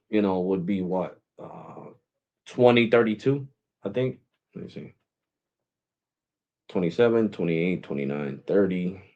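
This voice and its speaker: A man's voice: lowish voice, a little mellow, highs cut off. A man in his forties hosting a live stream, chatting casually and rambling, saying "bro" and "guys" often. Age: 40s. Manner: switches to Spanish with family.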